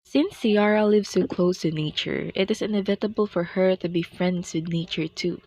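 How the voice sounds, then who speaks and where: A woman's voice: fairly low pitch, normal speed, a little mellow, dry recording, faint music behind. A young woman reading scripted storytelling narration for a radio drama. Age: young adult.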